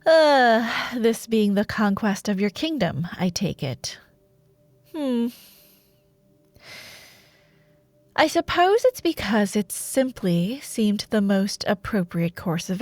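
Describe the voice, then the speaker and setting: A woman playing a taunting, imperious dark warlord sorceress in a roleplay audio drama, speaking in a theatrical, sardonic way with lingering pauses. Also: medium pitch, no echo, heavily acted. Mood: dryly amused.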